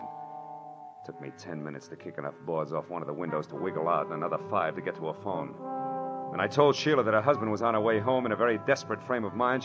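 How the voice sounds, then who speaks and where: Very low male voice, actor playing world-weary American detective, clipped and wry, old radio drama, muffled recording. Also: close to an everyday voice. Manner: clipped, wry, hardboiled delivery. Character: hardboiled male private detective narrating his case, world-weary and wry. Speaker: lead actor and narrator.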